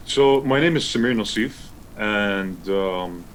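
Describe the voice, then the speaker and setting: A man from Lebanon, a pro wrestler and wrestling school founder, answering interview questions in an easygoing, steady way. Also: lowish voice, slow pace, a little hiss or hum.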